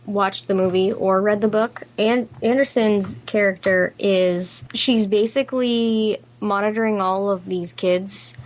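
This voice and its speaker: A woman's voice: medium pitch, fairly even intonation, a little slow, hardly any pauses, low-quality recording. A woman co-hosting a podcast, talking casually and conversationally about a film. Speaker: podcast co-host.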